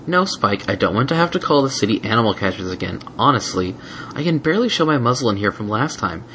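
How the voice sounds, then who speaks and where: A man's voice: mid-range voice, big pitch swings, fast, pushed and bright, a little hiss or hum. A man voicing a prim, coaxing female unicorn guardian in a fan fiction story, sweet but firmly insistent. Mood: primly cajoling. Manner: coaxing, silken, primly insistent. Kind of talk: fan fiction audiobook character voice.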